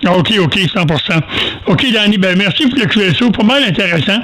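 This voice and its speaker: Older high-voiced Quebec man, folksy and halting. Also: lively intonation, bright, forceful tone, rough recording. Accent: Quebec French. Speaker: amateur radio operator. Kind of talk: casual ham radio chat, rambling anecdotes.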